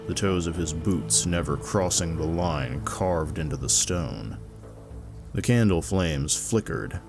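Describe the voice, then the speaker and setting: A man narrates a dark fantasy tale in a measured, even way. His voice is very deep and his pace unhurried. Faint music plays quietly behind him. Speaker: author reading his own fiction.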